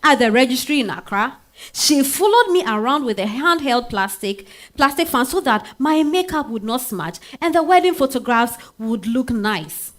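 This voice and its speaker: A woman's voice: high-pitched, normal speed. A Ghanaian woman playing a spirited married woman telling her love story in a dramatized stage reading, with conversational interjections. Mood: nostalgic, intense. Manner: expressive, conversational interjections like eh and hmm. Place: live literary festival stage reading.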